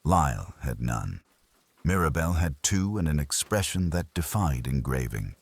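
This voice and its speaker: A man narrating a hardboiled detective story in a dry, clipped, deadpan way. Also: very deep voice, normal speed, husky, no room sound.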